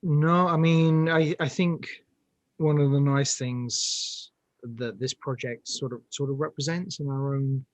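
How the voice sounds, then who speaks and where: A man's voice: mid-range voice, steady tone, unhurried, no echo. A man with a British accent and a mid-range voice speaks as an interview guest. He is enthusiastic, circling for the right phrase, and recorded dry with little room sound. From United Kingdom. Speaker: interview guest, audio drama director. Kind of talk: conversational interview.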